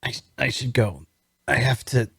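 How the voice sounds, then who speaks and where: A man's voice: mid-range voice, measured pace, creaky, pushed and bright, breathy edge, no echo, clean studio sound, heavily acted. A man playing a weary, wary wounded prisoner who also narrates his story in an audio drama table read. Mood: uneasy, weak.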